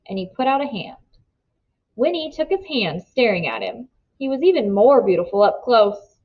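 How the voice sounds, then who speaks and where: Animated woman reading a story aloud. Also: on the high side, normal speed. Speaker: read-aloud narrator. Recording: slightly dull, muffled sound.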